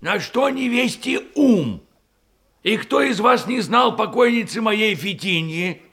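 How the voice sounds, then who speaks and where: An older actor playing a jovial, plain-minded provincial uncle in a radio verse comedy, hearty, mocking and drawling. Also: male voice, high voice, expressive, slow pace, a bit bright, exaggerated voice. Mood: jovial, opinionated. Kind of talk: theatrical period verse comedy, radio play.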